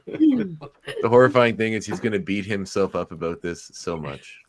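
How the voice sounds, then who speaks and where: A man's voice: on the low side, big pitch swings, moderate pace, crowd noise. A man chatting casually with fellow podcast cast members on an online call. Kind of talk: casual chat among podcast colleagues.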